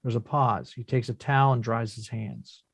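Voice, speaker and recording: A man's voice: mid-range voice, normal speed, gravelly, slightly rough, no echo. A man reading screenplay action descriptions as scripted narration at a table read. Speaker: stage directions reader.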